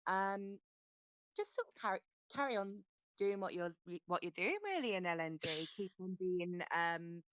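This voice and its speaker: Woman, moderator, giggly, hesitant, smooth clear voice, dry. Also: on the low side, expressive, moderate pace, a bit bright, no echo. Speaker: community moderator. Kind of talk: casual thank-you remarks on a voice chat.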